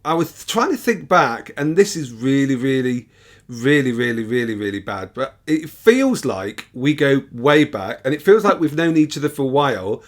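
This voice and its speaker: A man's voice: medium pitch, big pitch swings, moderate pace. A man hosting a casual interview, enthusiastic and often repeating words. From Coventry, England. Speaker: host.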